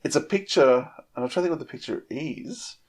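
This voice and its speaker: A man's voice: on the high side, a little fast, darkish tone. A man chatting casually on a podcast, rambling and repeating words as he works through his thoughts. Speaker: podcast panellist. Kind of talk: casual chat.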